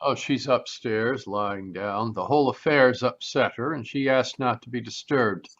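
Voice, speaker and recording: A man acts an evasive, formal gentleman from an old radio mystery, with a medium-pitched voice. He is wordy and dismissive, fending off questions with polished politeness. The sound is slightly dull, like a video call. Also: a little slow. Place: remote live video call performance.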